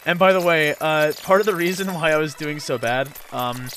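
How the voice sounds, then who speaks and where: Higher-voiced man joking through game commentary. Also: normal speed, a bit bright, slight background noise. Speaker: let's play host and player. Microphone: voice-over commentary recorded over game footage. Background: game music.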